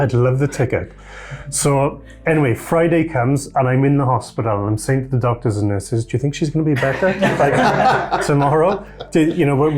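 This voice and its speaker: A man's voice: medium pitch, darkish tone. Man telling a self-mocking story. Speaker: foster father. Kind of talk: anecdotal storytelling.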